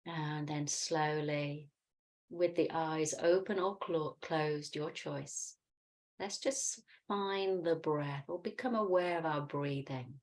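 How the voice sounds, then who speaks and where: Low-pitched woman gently guiding meditation. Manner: calm, soothing, unhurried instructing with pauses. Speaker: yoga and meditation teacher. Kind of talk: guided meditation and gentle movement instruction.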